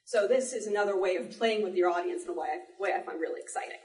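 A woman giving a live demonstration talk to an audience, explaining in an animated way with playful asides. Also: mid-range voice, quick pace. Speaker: panel presenter, sound effects artist. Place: indoor library panel before an audience. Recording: echoey room, distant microphone.

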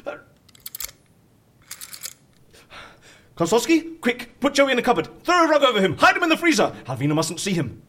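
A mischievous boss forever dodging blame in an audio comedy. He stammers and wheedles, excitable and flustered, his pitch leaping all over the place. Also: fast, full character voice. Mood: panicked, frantic. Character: flustered, evasive, mischievous male boss hiding his blunders. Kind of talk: audio drama comedy acting.

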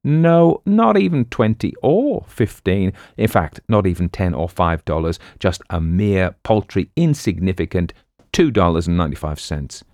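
A man voicing a brash, fast-talking book pitchman and con artist in an audiobook story, full of bombastic patter. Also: big pitch swings, darkish tone, dry recording, clean studio sound. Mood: grand sales pitch.

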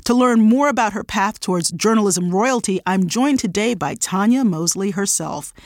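A woman's voice: medium pitch, normal speed, a little mellow, no room sound, studio quality. A woman hosting an interview podcast, talking in an affirming, conversational way. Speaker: podcast host.

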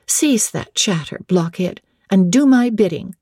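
A spoiled, imperious young lady of high birth in a classic novel, acted by a female narrator. Her voice is lifted high and swoops with haughty command. She sounds used to getting her own way. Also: expressive, a little slow, a little mellow, breathy edge, dry recording, studio quality. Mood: imperious, impatient.

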